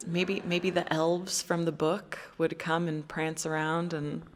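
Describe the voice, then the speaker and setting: Low-voiced woman, musing casually. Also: flat delivery, slow, heavy background noise. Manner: musing, tentative. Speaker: interview guest, a singer. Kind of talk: casual interview chat. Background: soft music.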